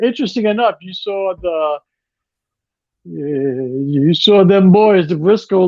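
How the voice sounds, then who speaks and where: A man with a notably high voice talks over a dry, slightly dull recording. He rambles through sarcastic complaints, often restarting his sentences in casual podcast banter. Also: a little mellow, no echo. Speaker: podcast co-host. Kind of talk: casual wrestling chat.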